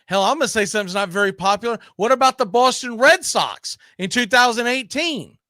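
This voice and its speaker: A man's voice: extremely high pitch, lively intonation, fairly quick, pushed and bright, dry recording, studio quality. A man hosting a casual sports talk show, arguing his opinions emphatically and often throwing out rhetorical questions.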